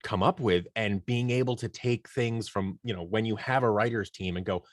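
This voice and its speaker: A man with a fairly low voice talks casually as an interview guest. The sound is dry, with very little room on it. He tells things like a storyteller, slipping into imagined bits of dialogue. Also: normal speed, no room sound. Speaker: interview guest, audio drama producer leading a creative team. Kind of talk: casual conversational interview answers.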